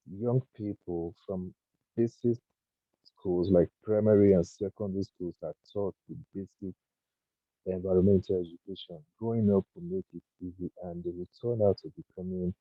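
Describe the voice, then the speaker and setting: A man in his thirties, his voice croaky in the early morning, giving an earnest and halting talk on an online panel over a video call. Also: sits low, dry recording. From Nigeria. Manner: earnest, thoughtful, halting with fillers. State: croaky, just woken early. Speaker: guest speaker. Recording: dry, tinny phone-like call audio.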